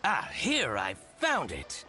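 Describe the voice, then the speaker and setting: A man playing an excitable, scheming merchant in a video game, theatrical and exaggerated, full of grudge. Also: mid-range voice, slow, soft background music, full character voice. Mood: smug, scheming. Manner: theatrical, exaggerated, with grumbles and gasps. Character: scheming, excitable male merchant, gloating and resentful of his rival.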